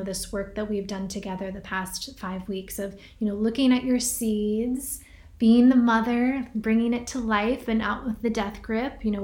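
Rambling woman podcaster in an echoey room. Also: mid-range voice. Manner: gentle, rambling, many "right?" and "you know" asides. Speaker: podcast host and workshop leader. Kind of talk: reflective casual talk to listeners. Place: staying in a rented home.

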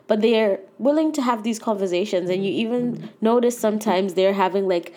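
A woman's voice: mid-range voice, moderate pace, darkish tone. A young woman with a cold and a nasal, congested voice, hosting her own podcast as a chatty, earnest solo talk with lots of fillers. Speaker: podcast host. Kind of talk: casual solo podcast chat.

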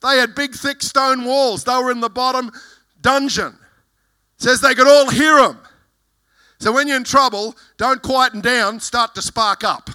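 Male pastor, loud voice, fervent sermon delivery, high pitched and piercingly bright. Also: steady tone, measured pace, clean tone. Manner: fervent preaching, reading scripture verses aloud.

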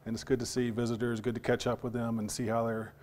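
A man preaching a sermon to his congregation, his voice partly lost and still recovering, talking in a halting way with repeated words and questions to the listeners. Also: mid-range voice, monotone, a little mellow.

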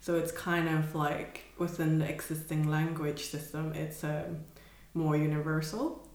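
A woman's voice: sits low, fairly even intonation, a little slow, darkish tone. Woman, hesitant, echoey room. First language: Cantonese. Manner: hesitant, searching for words, laughs often. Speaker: artist guest. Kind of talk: casual conversation.

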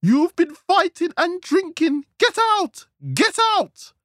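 A man voicing a highly strung, hot-tempered suburban wife, shrill and emotional in a comic story. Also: sing-song, takes it slowly, a little mellow, no room sound, clean studio sound, full character voice. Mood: furious.

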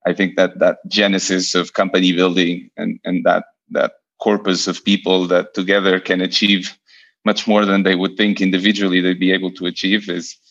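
A man's voice: low voice, flat delivery, normal speed, a bit bright, highs cut off. A man from Portugal in his thirties, a venture investor telling his career story in a podcast interview, hesitant with many repeated starts.

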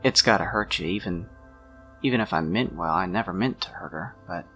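A man playing an awkward, guilt-ridden young man in a tabletop game, talking haltingly and trailing off. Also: low-pitched, fairly bright tone, faint music behind. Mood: guilty, regretful.

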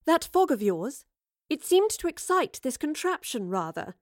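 A woman voicing a determined, scornful gentlewoman in a story, with brisk, dryly understated wit. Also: high voice, very animated, no echo, studio quality, pitched above the performer's own voice. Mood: wry, defiant.

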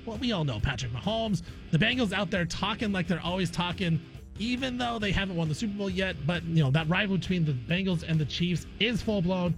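A man's voice: high-pitched, lively intonation, brisk, faint music behind, low-quality recording. A man hosting a sports talk show, chatting casually and repeating phrases for emphasis. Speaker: sports talk radio host.

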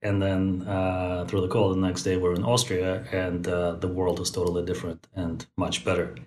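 A man in his forties, born in Moscow and raised in Central Asia, speaks thoughtfully as a podcast guest, pausing often to find his words. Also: deep voice, level tone, darkish tone. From born in Moscow, raised in Tajikistan. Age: 40s. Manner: thoughtful, many fillers and restarts. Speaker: guest, company founder. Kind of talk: podcast interview. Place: Bay Area.

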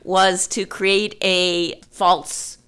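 A woman's voice: mid-range voice, little pitch movement, drawn-out words, a bit bright, clean tone. A woman hosting a literary podcast, effusive and thinking aloud as she discusses writing. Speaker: podcast host, writer.

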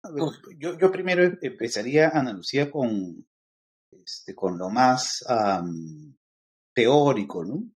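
A man with a Peruvian accent, a guest lecturer explaining ideas thoughtfully in a podcast interview, often pausing on fillers. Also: mid-range voice, expressive. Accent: Peruvian Spanish. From Peru.

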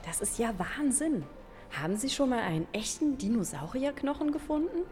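A woman playing a curious, playfully teasing museum visitor in a children's educational audio drama, expressive in manner, in an echoey hall. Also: sits high, moderate pace. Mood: amazed, curious. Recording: echoey hall with music behind.

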